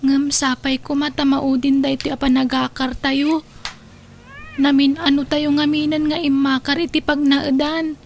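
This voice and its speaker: Young mother, played by a woman, high voice, dutiful and anxious, radio-drama delivery with birds and noise behind. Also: flat delivery, measured pace. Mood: worried, weary. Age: young adult. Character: dutiful, worried young mother caring for her ailing mother. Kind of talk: Ilocano audio drama acting. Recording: clear background noise with birdsong.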